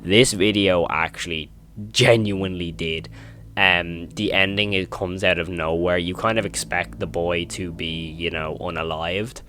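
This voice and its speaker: Low-voiced man giving casual commentary. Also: steady tone, unhurried, sharp tone. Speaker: host of a horror video commentary channel. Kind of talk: casual reaction commentary and retelling.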